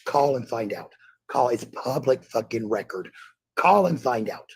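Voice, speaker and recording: A man's voice: medium pitch, expressive, measured pace, gravelly, slightly rough. A man on an angry livestream rant, combative and swearing heavily, repeating phrases for emphasis. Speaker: parent hosting a livestream.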